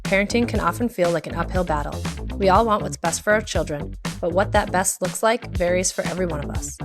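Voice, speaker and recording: A woman's voice: fairly low pitch, a little fast, music in the background. A woman, speaking as a podcast host introducing and interviewing guests.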